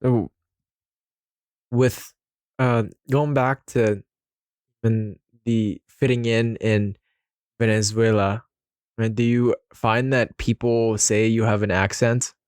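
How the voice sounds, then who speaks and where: A young man born in the Philippines hosts a podcast in a relaxed way, asking questions and dropping in lots of "yeah". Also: on the low side, slow pace, pauses often, clear, resonant tone, dry recording, studio quality. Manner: relaxed, frequent "yeah" backchannels. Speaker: podcast host. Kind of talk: casual conversational interview.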